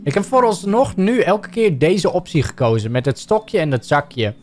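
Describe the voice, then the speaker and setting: A man's voice: high-pitched, very animated, normal speed, a little mellow, faint music behind. A young adult Dutch man streaming a video game, playfully reading game choices aloud and joking between them. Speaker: gaming streamer. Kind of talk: livestream game commentary.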